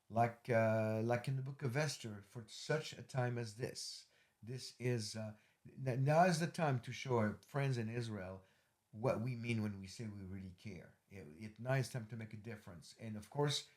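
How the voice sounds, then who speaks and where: A man's voice: lowish voice, darkish tone. A middle-aged man hosting a ministry podcast, closing an interview with rambling, filler-heavy but earnest appeals. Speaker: host, ministry leader.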